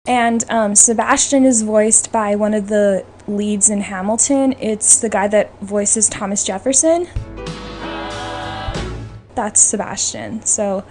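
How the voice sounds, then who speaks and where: A woman's voice: medium pitch, fairly even intonation, takes it slowly, darkish tone, soft background music. A woman hosting a podcast, chatting casually and giving her opinions in an informal, conversational way. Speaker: podcast host. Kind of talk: casual chat about films. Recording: noisy room ambience behind the voice.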